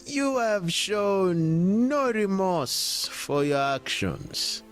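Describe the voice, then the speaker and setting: A man's voice: sits high, very animated, very slow, clear, resonant tone, faint music behind, pitched above the performer's own voice, heavily acted. A man playing a stern, disgusted older judge in an audio drama, speaking in a formal, grave and scathing manner. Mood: contemptuous.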